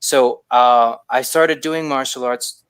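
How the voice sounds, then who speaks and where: A man with a smooth, clear voice of middling pitch answers interview questions as a writer. He explains things thoughtfully and haltingly, restarting phrases, in a dry, close recording. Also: fairly even intonation, a bit bright, dry recording. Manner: halting, explanatory, repeats words as he formulates. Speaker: guest, fiction writer.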